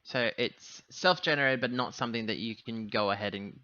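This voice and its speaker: A man playing a tabletop game over a voice call, talking casually and haltingly as he thinks out loud. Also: medium pitch, no room sound, highs cut off. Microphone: online voice call.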